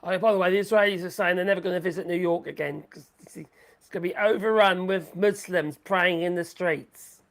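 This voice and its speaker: Gravelly, rambling streamer. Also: mid-range voice, steady tone, a little fast. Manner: rambling, sarcastic, chatty. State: losing voice. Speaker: livestream host. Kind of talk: rambling live commentary.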